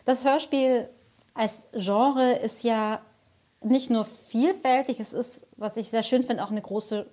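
A woman's voice: on the high side, fairly even intonation, takes it slowly, warm tone. A woman giving reflective interview answers as a jury member, searching for her words.

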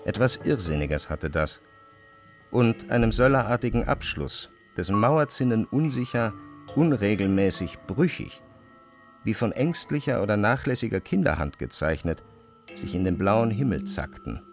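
A man narrating a literary classic in a measured, precise storytelling style. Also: fairly low pitch, expressive, faint music behind.

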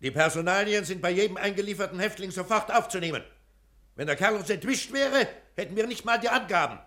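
Stern prison director, high sharp voice. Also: male voice, a little fast. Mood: stern, irritated. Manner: curt, officious, commanding. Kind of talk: comic German drama acting.

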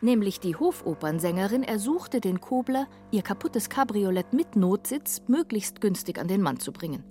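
A woman's voice: mid-range voice, sing-song, normal speed, warm tone, faint music behind. A woman reading scripted literary narration for a radio drama.

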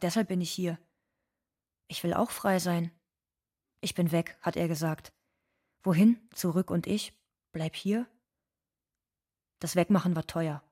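A low-pitched bitter migrant woman from South America, living without papers. She delivers a radio drama monologue with wounded deliberateness. Her phrases return and build insistently. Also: darkish tone.

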